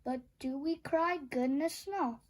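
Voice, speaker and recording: Child-like high voice, unhurried, playful, children's read-aloud host, storybook narration. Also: a little hiss or hum. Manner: playful, teasing, asks the listener questions.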